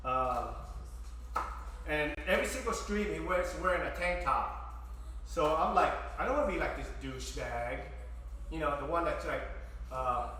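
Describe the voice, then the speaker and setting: A man's voice: fairly high pitch, bright, forceful tone, slight background noise. A middle-aged man whose first language is Vietnamese, chatting casually to his stream viewers while he cooks in his home kitchen. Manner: chatty, joking asides to chat. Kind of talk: casual live-stream chat while cooking. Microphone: fixed camera at a distance. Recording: echoey kitchen, mic at a distance.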